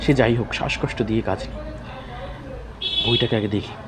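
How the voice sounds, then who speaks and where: Man telling horror, clearly noisy recording. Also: on the low side, steady tone, fairly quick. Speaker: horror story narrator. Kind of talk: dramatic first-person horror storytelling.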